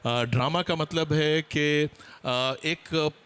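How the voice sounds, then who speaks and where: Higher-voiced man speaking as seminar panelist. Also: drawn-out words, a bit bright, clean tone. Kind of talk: seminar talk to an audience.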